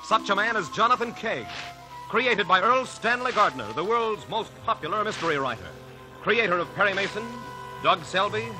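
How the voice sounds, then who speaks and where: A man's voice: high voice, lively intonation, moderate pace, over music, poor audio. A man with an American accent narrating dramatically as a 1950s radio announcer, theatrical and portentous. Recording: vintage radio recording.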